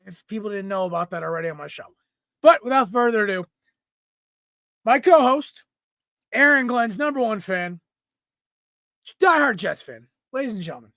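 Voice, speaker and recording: A young man hosting a casual sports podcast from home, a little raspy from smoke, rambling and clearing his throat often. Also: sits high, sharp tone, no echo. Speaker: podcast host. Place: room at home. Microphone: computer mic, no headset.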